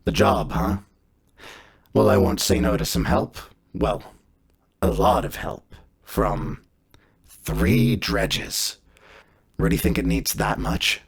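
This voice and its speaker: A man voicing a gruff, blunt cyborg mercenary boss in a tabletop game, with a rasping voice and a laconic, coarse, folksy manner. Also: lowish voice, monotone, slow pace, pauses often, exaggerated voice. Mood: sardonic, sizing up. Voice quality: heavily gravelly, rasping.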